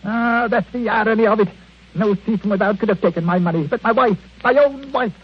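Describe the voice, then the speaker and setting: An actor playing a bitter, self-pitying elderly husband in a querulous, theatrical 1940s radio drama style. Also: male voice, high voice, a little fast, husky. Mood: aggrieved. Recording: muffled, noisy vintage radio recording.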